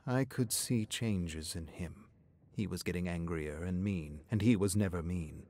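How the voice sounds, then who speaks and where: Halting, wistful middle-aged wife, voiced by a man. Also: deep voice, mellow, voice pushed down. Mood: uneasy, hushed. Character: plump middle-aged woman, anxious, grieving, bitter wife.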